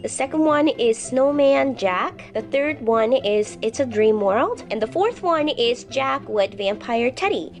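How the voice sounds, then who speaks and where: A woman unboxing toy figures in a casual vlog, gushing and repeating words for emphasis while she talks through a lingering flu. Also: high voice. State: recovering from flu, breathing heavily.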